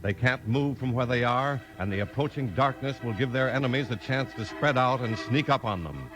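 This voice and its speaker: A man's voice: medium pitch, moderate pace, over music. A man giving dramatic scripted narration for a 1950s western radio serial.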